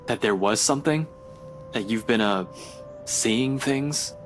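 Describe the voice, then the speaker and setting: A man's voice: mid-range voice, slow, soft background music, lightly acted, poor audio. An actor playing a skeptical young man in a visual novel, informal and grumbling in manner. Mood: doubtful, uneasy. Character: skeptical, casual young man, reluctant ex-companion.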